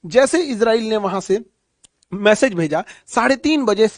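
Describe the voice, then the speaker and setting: A man's voice: high-pitched, lively intonation, a little slow, dry recording. A man with a Bihari accent giving an explanatory current affairs lecture like a teacher, emphatic, repeating key phrases and posing questions he answers himself.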